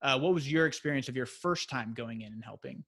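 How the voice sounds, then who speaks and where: A man's voice: mid-range voice, fairly quick, a bit bright, husky. A man hosting an interview, asking questions in a casual, hesitant way with many fillers. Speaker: interviewer, channel host.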